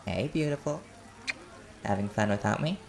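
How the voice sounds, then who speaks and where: Man playing a low, creaky-voiced flirty reporter. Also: expressive, normal speed. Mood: playful, flirty. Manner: playful, teasing. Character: easygoing, flirtatious reporter, smooth sweet talker. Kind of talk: audio roleplay acting.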